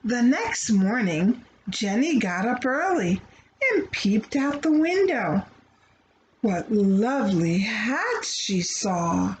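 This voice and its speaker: A woman reading a picture book aloud to children in an expressive, playful way, with asides to her young listeners. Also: fairly high pitch, slow pace, slight background noise, poor audio. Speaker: children's storybook reader.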